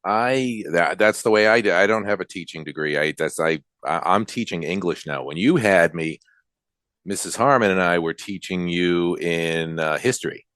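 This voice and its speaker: Low-voiced man hosting a chat. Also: moderate pace, fairly bright tone, smooth, dry recording. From Rhode Island. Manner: rambling, chuckling often. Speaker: podcast host and teacher. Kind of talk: casual interview chat.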